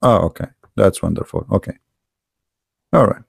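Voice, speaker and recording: Male show host, gravelly voice, sarcastic and combative, dry close-miked sound. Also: fairly low pitch, animated, normal speed, no room sound. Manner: mocking, argumentative, rising emphasis. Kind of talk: heated call-in debate.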